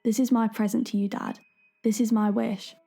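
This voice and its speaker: Give a mellow, gentle young woman's voice for an audio drama , an actress as a late daughter. She is loving and earnest, speaking conversationally and reassuringly over music. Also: on the high side, flat delivery, normal speed, creaky, husky. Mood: pleading, loving. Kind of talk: audio drama letter monologue.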